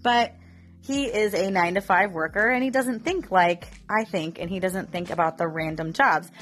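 A woman's voice: mid-range voice, moderate pace, fairly bright tone, soft background music. Chatty woman vlogger getting over a cough. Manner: chatty, candid, with frequent fillers. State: recovering from a cough. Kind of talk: casual chat to camera.

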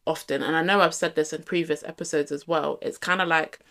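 A woman's voice: deep voice, fairly even intonation, a little fast, a bit bright. A young woman with a London accent, co-hosting a casual podcast chat and talking earnestly and reflectively, with lots of "like" fillers. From England. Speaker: podcast co-host.

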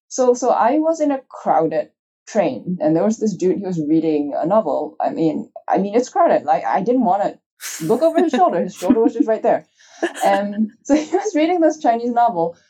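Woman from Malaysia, husky and soft-edged, enthusiastic casual storyteller, slightly lo-fi sound. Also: a little high. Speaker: guest software developer. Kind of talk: casual conversational talk.

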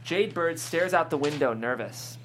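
A woman's voice: low-pitched, lively intonation, normal speed, slight background noise. A woman reading scripted stage directions as the narrator at a table read.

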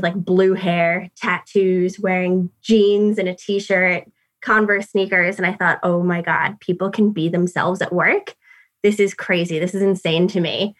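A woman from New York tells her career story with a clear New York accent. She talks in a casual, chatty way, leaning on fillers as she goes. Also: mid-range voice. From New York City. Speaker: guest. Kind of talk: casual interview talk.

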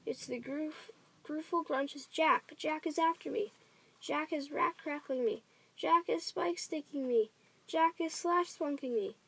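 A woman's voice: a little high, fairly even intonation, slow, few pauses, husky, full character voice. A woman voicing a huge brutish male giant from a storybook, bellowing and pleading in frantic terror. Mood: panicked bellowing. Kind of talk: dramatic storybook reading.

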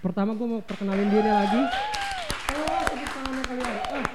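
A woman's voice: a little high, brisk. A young woman hosting a student podcast, casual, slangy and teasing. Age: young adult. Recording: dull audio with crowd noise.

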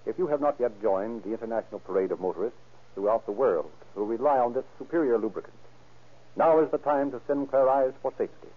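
Old time radio announcer guy, formal scripted delivery, bit husky, lo-fi muffled sound. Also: medium pitch, fast. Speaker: narrator and commercial announcer.

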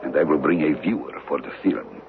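A man's voice: extremely low pitch, a little fast. An actor playing a suave, scheming villain with a foreign accent, choosing his words with courtly precision in an old radio drama. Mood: quietly menacing. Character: suave, scheming foreign villain who deals in stolen art. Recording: muffled, noisy old radio broadcast.

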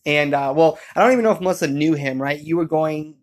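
A man's voice: a little high, quick pace. Young male co-host, chatty and informal, a playful rambling storyteller. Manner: jokey, rambling, animated retelling with quoted asides. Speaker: co-host telling the couple's story.

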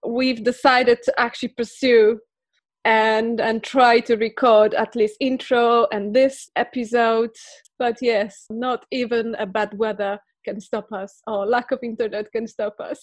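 A woman's voice: on the high side, steady tone, slow, dry recording. A woman co-hosting a podcast as a spiritual counselor, talking playfully and laughing often. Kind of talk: casual podcast chat.

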